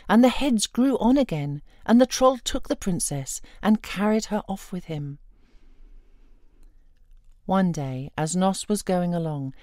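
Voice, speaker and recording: Expressive low-voiced woman storyteller. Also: no room sound, studio quality. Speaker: storyteller reading a fairy tale.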